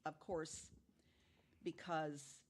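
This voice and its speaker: A woman with a low-pitched voice talks casually as a guest on a podcast panel. She tells stories conversationally and speaks with emphasis, often repeating words to agree. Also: level tone, extremely slow.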